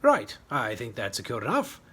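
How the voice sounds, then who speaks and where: A man voicing a jaunty, pompous, excitable WWI pilot in a storybook reading, with old-fashioned officer bluster. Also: mid-range voice, moderate pace, husky, heavily acted. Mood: brisk, eager.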